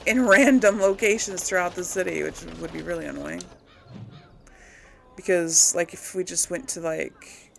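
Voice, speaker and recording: A woman's voice: fairly low pitch, gravelly, a bit bright, a little hiss or hum. A woman giving casual, unscripted game commentary to viewers, rambling a little as she thinks aloud. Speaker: let's play host.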